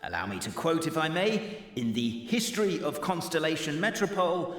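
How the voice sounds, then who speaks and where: An actor playing a mysterious, grand storyteller guide in a fantasy game, narrating measuredly in an echoey hall. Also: male voice, fairly high pitch, very animated, normal speed, fairly bright tone. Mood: grand, solemn. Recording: echoey hall, long reverb.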